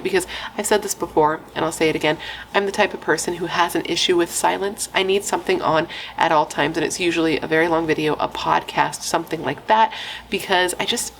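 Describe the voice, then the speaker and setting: A woman's voice: lowish voice, slight background noise, poor audio. A young adult woman, a pregnant true crime YouTuber, chatting playfully with dramatic asides and casual swearing.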